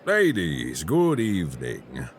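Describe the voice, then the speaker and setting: An actor playing a polite, quietly menacing male crime boss in an audio roleplay. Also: low voice, wildly swooping pitch, a little hiss or hum, heavily acted. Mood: smooth menace. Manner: slow, courteous and quietly menacing.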